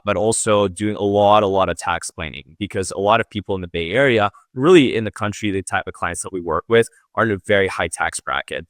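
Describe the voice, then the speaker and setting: A Latvian-born man with a plain American accent, a guest wealth planner talking easily in a podcast interview and often pausing on "uh". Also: low voice, a bit bright, no room sound, studio quality. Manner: easygoing, many "uh" fillers.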